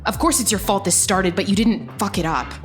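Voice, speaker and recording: An actress playing a tough, determined action heroine in an audio drama, with a gritty, blunt and defiant manner. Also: female voice, mid-range voice, brisk, faint music behind, natural voice. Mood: blunt, reassuring.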